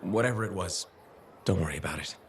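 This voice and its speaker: An actor playing a friendly, helpful adventurer in a video game, talking in a casual, reassuring way. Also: male voice, low voice, fast talker, a little hiss or hum, lightly acted. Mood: gentle, probing. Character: steady, friendly male freelancer adventurer, helpful and gently probing. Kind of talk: video game dialogue acting.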